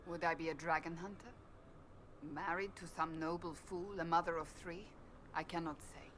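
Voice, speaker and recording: An actress playing a stern, earnest, devout warrior woman in love, speaking in a grave, formal, measured way in video game dialogue. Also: fairly low pitch, fairly even intonation, a bit bright, a little hiss or hum, natural voice. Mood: sorrowful, grave.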